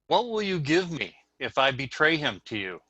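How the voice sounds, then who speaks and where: A man's voice: fairly high pitch, very animated, normal speed, pushed and bright, muffled recording, exaggerated voice. A man reading the part of a scheming disciple turned traitor in a dramatic scripture reading over a video call. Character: Judas, a scheming male disciple turned betrayer. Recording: home video-call recording.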